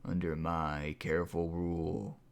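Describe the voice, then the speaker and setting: A man voicing a calm, menacing would-be king in an audiobook, speaking slowly and softly with deliberate breathy menace. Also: low voice, voice pushed down. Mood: menacing, controlled. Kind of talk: audiobook character voice.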